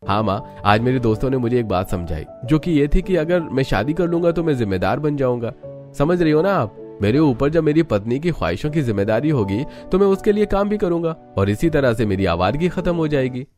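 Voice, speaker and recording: A man's voice: mid-range voice, big pitch swings, brisk, a little mellow, soft background music, natural voice. A man playing a lazy, scheming young son in a Hindi cartoon story, with a whiny, cocky manner. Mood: persuasive.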